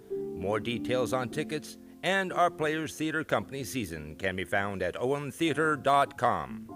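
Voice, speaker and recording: A man announcing in a scripted radio show style, reading out a sign-off and credits. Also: mid-range voice. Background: guitar music.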